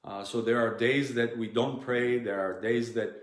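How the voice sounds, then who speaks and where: A Serbian-accented male priest in his forties speaks earnestly and plainly to his flock, pausing often on ums and restarting his sentences. His voice sits at a medium pitch. A hard living room adds a noticeable echo around him. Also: steady tone. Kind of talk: informal teaching to parishioners. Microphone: device camera at close range. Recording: echoey room.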